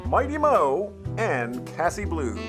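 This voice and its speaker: A man's voice: a little high, wildly swooping pitch, slow pace. A man works as the announcer of an old-style radio drama. His delivery swings up and down, with music underneath.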